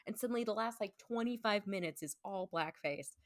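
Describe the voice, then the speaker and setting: A woman's voice: medium pitch, a little fast, no echo. A woman speaking as a podcast guest in casual chat, emphatic and chatty, peppering her talk with "like". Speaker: podcast guest, stage performer.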